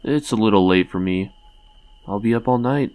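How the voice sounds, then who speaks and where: A man's voice: lowish voice, normal speed, a little mellow, close to an everyday voice. A young man voicing an anxious, earnest young man in a comic dub, hesitant and doubtful in dramatic dialogue. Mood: uneasy. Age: young adult. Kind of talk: dramatic dialogue and inner narration. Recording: music behind the voice.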